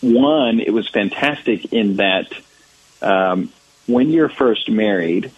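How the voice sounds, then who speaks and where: A man's voice: on the low side, slow pace, husky, phone-quality sound. An American man in his thirties, a church ministry director answering interview questions thoughtfully, with many fillers and restarts. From United States.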